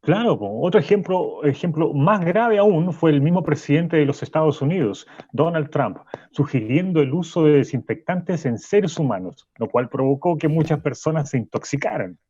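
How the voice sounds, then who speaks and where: A man's voice: fairly high pitch, expressive, normal speed, hardly any pauses, mellow, slightly rough, dry recording, lo-fi sound. A man playing a pompous, condescending sociology professor who lectures self-importantly in a radio drama. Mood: lofty.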